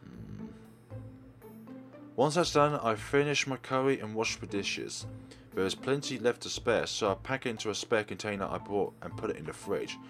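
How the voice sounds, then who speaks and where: A man's voice: on the low side, very animated, normal speed, vocal fry, a bit bright. A man hosting a let's play, reading a visual novel's text aloud and dropping casual asides between the lines. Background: faint game music.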